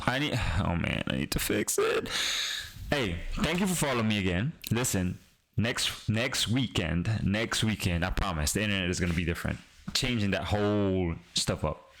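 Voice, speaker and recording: A young man from the Caribbean, a native Creole speaker, chatting casually with his stream while he codes, rambling and pausing a lot as he restarts his sentences. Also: fairly low pitch, animated, a bit bright, a little hiss or hum. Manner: rambling, pauses and restarts, talks to chat. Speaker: streaming developer. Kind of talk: casual live-stream coding chat.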